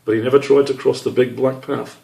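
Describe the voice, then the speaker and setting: A man's voice: mid-range voice, flat delivery, fairly quick, vocal fry, dark tone, rough recording. A man narrating a children's storybook as the author reading his own work.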